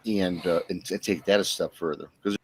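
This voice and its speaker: A low-pitched American man in casual podcast conversation. He rambles in a halting way, often circling back over what he has started to say. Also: steady tone, slightly rough. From Michigan, United States. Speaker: guest, Bigfoot researcher and podcaster. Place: remote livestream call.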